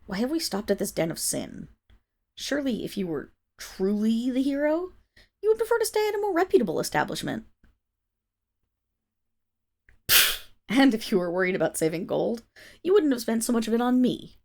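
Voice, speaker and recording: A woman's voice: a little high, very animated, brisk, vocal fry, darkish tone. A woman playing a proud, indignant noble wolf-girl servant in an ASMR roleplay, with haughty, formal diction that falters when she is flustered. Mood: haughty, disapproving. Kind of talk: ASMR roleplay, dramatic voice acting.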